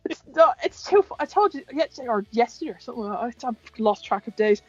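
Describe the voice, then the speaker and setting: An Irish woman in casual, animated chat with a friend, exclaiming and swearing mildly. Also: a little high, quick pace, husky, no room sound. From Ireland. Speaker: host of a fan chat, who edits it. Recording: muffled lo-fi audio with music behind. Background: music.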